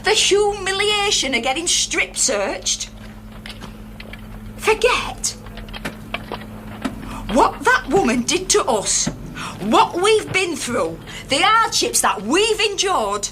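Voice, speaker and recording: An actress playing a fiery, defiant miners' wife and activist with a Northern English accent and a rousing, plain-spoken way of talking in a naturalistic radio drama. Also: female voice, extremely high pitch, big pitch swings, takes it slowly, pauses often, noisy background, exaggerated voice. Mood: impassioned.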